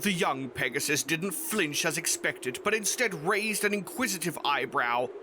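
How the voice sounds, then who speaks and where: A man's voice: on the high side, lively intonation, normal speed, background noise. A man reading scripted story narration as the narrator of a fan fiction tale. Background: music.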